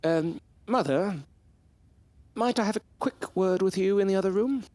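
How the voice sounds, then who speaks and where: An actor playing a headstrong, bookish young gentleman of a past century in an audio drama, speaking formally with old-fashioned diction. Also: high-pitched, normal speed, no room sound, lightly acted. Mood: courteous, guarded. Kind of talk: period audio drama acting.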